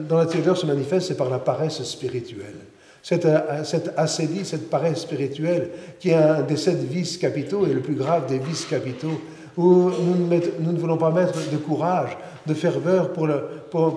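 Middle-aged male priest, earnest sermon, frequent restarts, mellow voice, long church reverb. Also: fairly high pitch. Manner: earnest, many restarts and repetitions. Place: large church interior.

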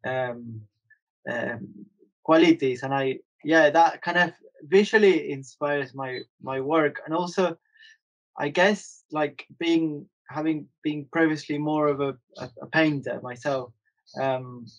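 A man's voice: a little high, slow pace, poor audio. A man in his thirties from Argentina, an artist talking casually and hesitantly in an interview, with many fillers and restarts.

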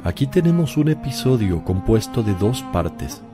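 An actor playing a grave, solemn male teacher who preaches deliberately in a radio drama. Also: lowish voice, very animated, music in the background. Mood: solemn, reproving.

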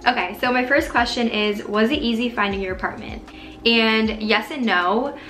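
A woman's voice: on the high side, moderate pace, a bit bright, echoey room, soft background music. A woman in her twenties chatting casually to camera as a vlogger, answering viewer questions with many likes and ums. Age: 20s. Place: small bedroom indoors.

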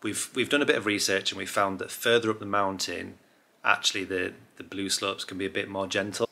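A man's voice: sits low, fairly even intonation, fairly quick, gravelly, bright, forceful tone. A man talking casually and informally as a travel vlogger, with an upbeat, chatty manner. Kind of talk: casual vlog chat.

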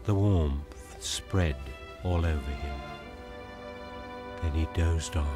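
An actor playing a grave, measured storyteller, narrating an audio drama. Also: male voice, rumbling low. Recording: loud music behind narration.

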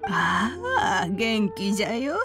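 A woman's voice: medium pitch, big pitch swings, slow. A woman voicing a kindly, wise old granny in a Japanese game, with archaic elderly speech. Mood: gentle welcome. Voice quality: elderly-sounding. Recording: music under the voice.

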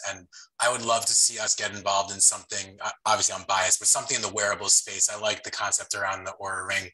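A man's voice: on the low side, sharp tone, rough recording. A man in his thirties on a laptop video call, speaking appreciatively and enthusiastically. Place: room with large windows.

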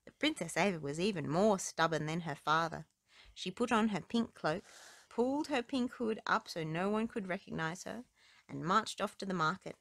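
A woman reads children's stories aloud in a slightly low voice. There is noticeable fry in her tone. The indoor recording is close and dry. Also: animated, normal speed, a bit bright, no echo. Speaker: storybook reader. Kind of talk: read-aloud children's story narration.

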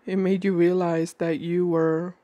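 A woman with a cold giving a calm tarot reading at a table indoors, slowing down as she names each card. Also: on the low side, no echo, lo-fi sound. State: has a cold. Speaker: tarot reader.

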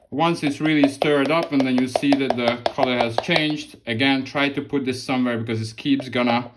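Relaxed man explaining a craft. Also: medium pitch, noisy background. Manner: relaxed, loose phrasing with many restarts. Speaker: model-making tutorial host. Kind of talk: casual hands-on tutorial. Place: indoor hobby workspace.

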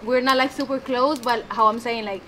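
A woman's voice: fairly high pitch, fairly quick. A young woman with a Colombian Spanish accent giving a heartfelt toast at an outdoor poolside party, searching for her words in English, Spanish being her first language. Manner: searching for words, animated hand gestures. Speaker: friend giving a speech. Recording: party crowd noise behind. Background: chatter and laughter of guests.

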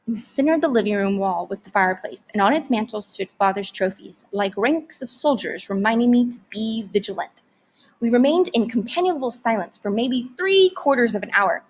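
A woman's voice: a little high, poor audio. A woman reading a story aloud expressively, like a teacher narrating to students.

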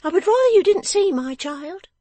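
A woman voicing a sharp-tongued, bossy young girl in a storybook, scolding in a shrill, imperious way. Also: expressive, normal speed, dry recording. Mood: tart, dismissive. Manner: imperious, tart, emphatic scolding.

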